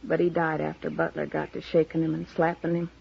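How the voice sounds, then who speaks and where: An actress playing a weary, stoic frontier widow with plain country speech in a 1950s radio western. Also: female voice, low-pitched, monotone, brisk, creaky, darkish tone, slightly rough, full character voice. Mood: bitter, exhausted. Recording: muffled old radio recording.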